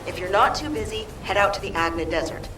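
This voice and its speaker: A woman's voice: medium pitch, fast talker, close to an everyday voice. A woman voicing a caring, teasing mother of a grown son in a video game, heard echoey and distant over music. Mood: affectionate teasing.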